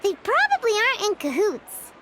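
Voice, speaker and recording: A woman voicing a small, excitable, childlike fairy companion in a video game, squeaky, perky and bluntly impatient. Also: normal speed, heavy background noise, heavily acted. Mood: animated. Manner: perky, exclamatory, speaks of self in third person. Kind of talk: video game character dialogue. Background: background music.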